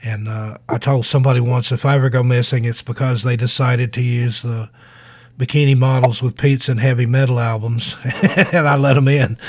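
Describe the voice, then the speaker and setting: Hoarse man chatting on a live stream. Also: medium pitch, steady tone, moderate pace, no echo, highs cut off. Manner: rambling, easygoing, self-deprecating. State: hoarse, scratchy voice from allergies. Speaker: guest author and narrator. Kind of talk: casual live-stream interview chat.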